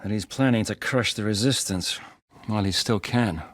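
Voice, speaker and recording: An actor playing a gruff, battle-hardened rebel commander in a video game, barking orders, swearing and mocking his foes. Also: fairly low pitch, moderate pace, fairly bright tone, no echo, clean studio sound. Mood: commanding.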